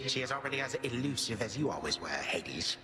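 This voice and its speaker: Grandiose ancient time god, echoing, swooping. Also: male voice, mid-range voice, sing-song, a little fast. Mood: dry, peevish. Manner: grandiose, archaic, theatrical, sardonic. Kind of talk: video game voice acting. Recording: loud music behind, echoing room. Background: loud game music.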